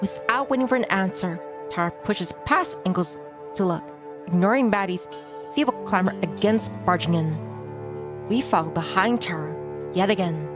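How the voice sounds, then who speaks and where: A woman reading a visual novel aloud as a streamer, narrating in measured phrases with casual commentary. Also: on the low side. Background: music.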